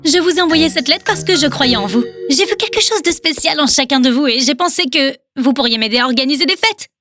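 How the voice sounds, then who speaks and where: A sprightly little witch shopkeeper from a kids' cartoon. The voice sits extremely high and stays cheerful. Music plays clearly underneath. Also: animated, fairly quick, pushed and bright. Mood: earnest. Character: cheerful little witch, owner of a party shop. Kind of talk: dubbed children's cartoon acting. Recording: music behind the voice.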